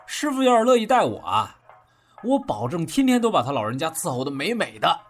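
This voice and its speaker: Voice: mid-range voice, wildly swooping pitch, a little fast, soft background music. A young adult actor playing an impish, fawning joker apprentice in a Chinese audio drama.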